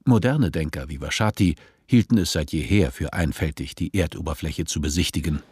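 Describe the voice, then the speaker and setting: A man playing a composed storyteller, reading measured, literary narration in a radio play. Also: a bass voice, a little mellow, husky, no room sound, studio quality, exaggerated voice. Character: composed male storyteller of a dystopian tale.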